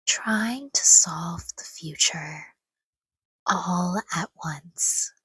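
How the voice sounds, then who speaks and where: A woman speaks in a very breathy, whispery voice, low in pitch and extremely unhurried. She guides a sleep meditation gently and soothingly, leaving long pauses between short, hushed phrases. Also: no room sound. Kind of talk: guided sleep meditation, scripted, soft-spoken.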